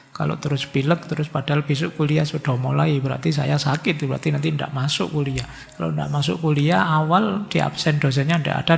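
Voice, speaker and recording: A man's voice: a little high, gravelly, long reverb tail. A man giving a chatty religious lecture, slipping in words and acting out people's worried thoughts.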